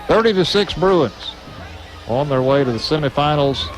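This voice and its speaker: A man's voice: a little high, over music, crowd noise, poor audio. Man calling radio football play-by-play. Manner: brisk, steady call of each play.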